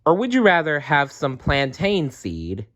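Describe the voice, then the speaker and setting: A man's voice: fairly high pitch, wildly swooping pitch, unhurried, a bit bright, pitched above the performer's own voice, heavily acted. A cheerful storybook frog hostess, played by a man, chirpy and polite. The voice is raised and lilting as she attends to her customers. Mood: cheerful, inviting. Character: cheerful female frog running a little restaurant.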